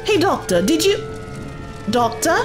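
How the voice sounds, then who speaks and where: A woman plays a bold, impulsive young heroine in an audio drama, brisk and take-charge. Her voice is high and swoops widely in pitch, over loud music. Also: big pitch swings. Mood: concerned. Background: loud background music.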